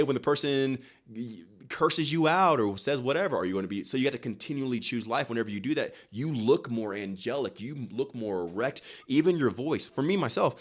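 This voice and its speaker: A man speaks as a guest in a conversational interview, in a mid-pitched voice. He drives his points home emphatically, repeating key phrases, acting out little quotes and asking rhetorical questions like a motivational speaker. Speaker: interview guest, wellness guide creator. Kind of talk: animated motivational talk in conversation.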